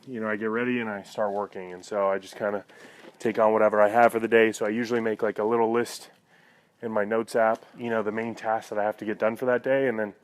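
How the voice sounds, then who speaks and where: A man with a fairly deep voice talks casually to his viewers as a vlogger. His delivery stays very even, with little melody, and he sounds reflective and conversational as he thinks aloud. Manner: conversational, reflective, frequent "you know". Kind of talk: casual personal talk to viewers.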